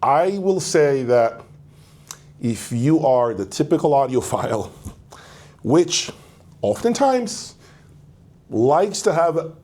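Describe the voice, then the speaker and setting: Mellow-voiced man presenting audio gear. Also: on the high side, big pitch swings, slow, long pauses. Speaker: host of an audio review channel. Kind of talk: casual product presentation to camera.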